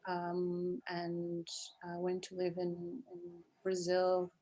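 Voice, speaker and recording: A woman's voice: on the low side, completely flat delivery, slow pace, warm tone, rough recording. Hesitant woman from Portugal on a call. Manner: hesitant, many ums and restarts. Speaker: guest. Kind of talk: casual video-call chat. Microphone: video call.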